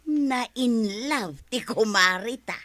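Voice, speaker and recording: A woman's voice: fairly high pitch, lively intonation, takes it slowly, pushed and bright, slight background noise, full character voice. An actress playing a chatty, teasing village woman in a comedy radio drama, speaking with an Ilocano accent.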